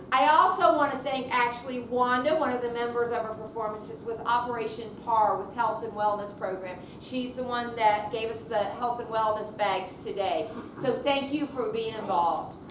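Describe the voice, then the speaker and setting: High-voiced woman, roomy distant recording. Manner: chatty, informal. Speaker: program organizer giving closing thanks. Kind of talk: informal thank-you speech to an audience. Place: room at a senior center. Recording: roomy, distant mic, noisy.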